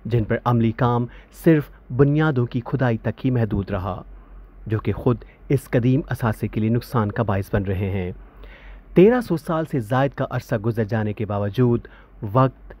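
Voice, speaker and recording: A man's voice: mid-range voice, a little mellow. A man in his thirties hosting a travel vlog, upbeat on camera and formal in his narration. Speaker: host. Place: outdoors by a ruined site.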